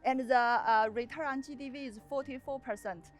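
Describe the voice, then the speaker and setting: A woman in her thirties with a Chinese accent speaks as a contestant making a pitch. Her voice sits slightly high. She is earnest and a little hesitant in her phrasing. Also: normal speed. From China. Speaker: property developer pitching as a contestant. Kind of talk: personal pitch and chat on a TV show.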